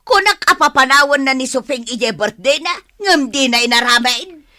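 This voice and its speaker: A grudge-holding older village mother with an Ilocano accent. She is pushy and aggrieved, with a bright, swooping, highish voice in a close, dry radio-play style. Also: animated, normal speed, no room sound. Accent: Ilocano, northern Philippines. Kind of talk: radio comedy drama acting.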